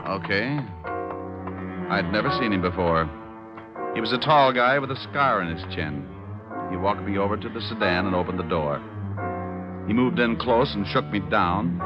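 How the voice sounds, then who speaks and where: Man playing a low-voiced wisecracking radio detective. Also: moderate pace, close to an everyday voice. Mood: cocky. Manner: dry, sarcastic. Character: wisecracking, cocky tough private detective. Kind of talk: 1940s radio detective acting, first-person narration and dialogue. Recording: muffled old radio recording with loud music.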